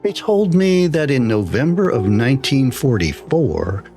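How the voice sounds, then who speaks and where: A man's voice: mid-range voice, very animated, a little slow, warm tone. A man narrating a scripted first-person horror story as a storyteller. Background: music.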